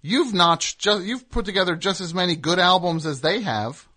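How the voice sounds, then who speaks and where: A man's voice: sits high, moderate pace, sharp tone. A man hosting a radio show, chatting casually and comedically with a guest.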